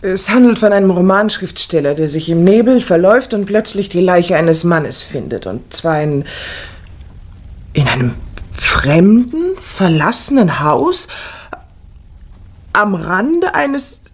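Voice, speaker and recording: A woman playing an affectionate, excitable wife in a 1960 German radio play, in an expressive theatrical manner. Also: on the low side, slow pace, full character voice. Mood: dawning excitement. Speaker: actress in a crime radio play.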